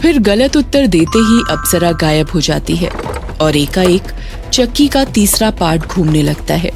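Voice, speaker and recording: Slow-paced woman storyteller over music. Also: lowish voice, animated. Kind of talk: scripted children's story narration. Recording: music bed under narration.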